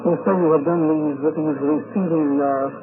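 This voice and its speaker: A man questioning a guest in a formal, deliberate way, on a vintage 1950s radio recording. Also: on the high side, steady tone, narrow, tinny audio, background noise. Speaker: panel questioner.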